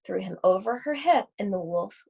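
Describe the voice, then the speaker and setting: A woman reading a children's picture book aloud to schoolchildren in an animated, theatrical storytelling manner. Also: mid-range voice, moderate pace, creaky, husky. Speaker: read-aloud host at an elementary school. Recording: dull, lo-fi sound.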